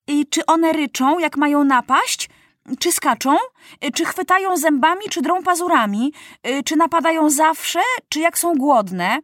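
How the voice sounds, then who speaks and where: A woman's voice: high-pitched, dry recording, studio quality, voice pushed up. A woman voicing a brave, eager young girl hunter who fires off questions and barks orders. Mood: curious. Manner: excited rapid-fire questioning, commanding shouts.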